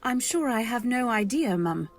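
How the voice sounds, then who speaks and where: A woman's voice: fairly high pitch, animated, normal speed. A woman playing a modest, earnest young companion, narrating and speaking politely and wistfully in a radio drama. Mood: demure, polite. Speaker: narrator and heroine. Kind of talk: first-person narration in old-time radio drama.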